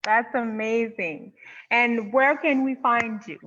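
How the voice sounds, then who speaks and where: A woman's voice: a little high, steady tone, takes it slowly, fairly bright tone, dull audio, slight background noise. A woman hosting an interview in a casual, gushing way, full of fillers like "you know". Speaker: interview host.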